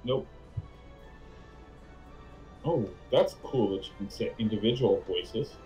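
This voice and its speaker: A man's voice: lowish voice, lively intonation, takes it slowly, mellow, breathy edge, poor audio. A man chatting casually as a co-commentator on a game stream, dropping short joking asides. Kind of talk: casual chat. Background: background music.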